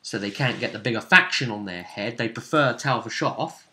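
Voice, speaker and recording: Bright-voiced man narrating as game master. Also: medium pitch, normal speed, slight background noise. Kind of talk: casual tabletop roleplay narration and recap.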